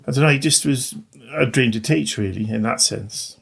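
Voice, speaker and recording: A man's voice: medium pitch, normal speed, creaky, slightly rough. A middle-aged man, talking casually and modestly as an interview guest, a little hesitantly. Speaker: interview guest, maths teacher. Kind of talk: casual conversation.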